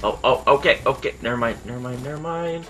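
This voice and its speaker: A man's voice: medium pitch, fast talker, music in the background. A man hosting casual gameplay commentary, sounding stuffy in the nose after just waking up, chatty and self-deprecating. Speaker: gaming channel host. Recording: game sound and gunfire behind.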